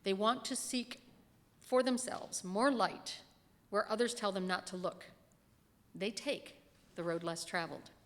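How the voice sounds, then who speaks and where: A woman's voice: mid-range voice, steady tone, measured pace, pauses often. A middle-aged woman reads a written sermon aloud from a lectern, speaking into a pulpit microphone in a church hall. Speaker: lay reader delivering a minister's sermon. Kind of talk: sermon read from a script at a lectern. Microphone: gooseneck lectern microphone. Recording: echoey hall with long reverb.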